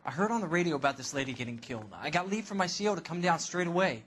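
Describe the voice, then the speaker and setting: An actor playing a brash, defensive young 1940s sailor under questioning in a video game, talking in blunt slang. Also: male voice, fairly high pitch, animated, rapid-fire, fairly bright tone, slight background noise. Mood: pleading, defensive. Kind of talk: video game cutscene acting.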